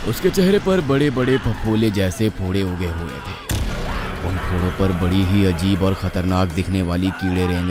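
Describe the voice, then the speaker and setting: Male horror narrator: low voice, dramatic scripted read, lively pitch movement, over music. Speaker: storyteller.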